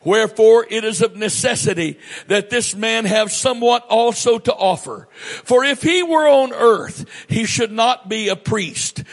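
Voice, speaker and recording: A male pastor preaching a sermon in a church, reading scripture aloud and then explaining it in a measured, emphatic way with pauses. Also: high-pitched, low-quality recording.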